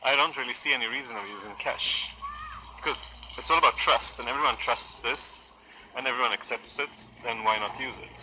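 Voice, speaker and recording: A man, calmly reasoning in a street interview. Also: medium pitch, moderate pace, a little hiss or hum, low-quality recording. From Sweden. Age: 30s. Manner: calm and reasoning.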